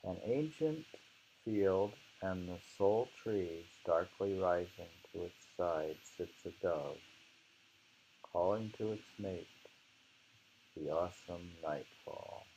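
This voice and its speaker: A man's voice: low voice, slow pace, dark tone, slight background noise, low-quality recording. A man reading aloud from a book of poetry and commenting informally, in a rambling, musing manner. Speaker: reader leading a poetry book discussion.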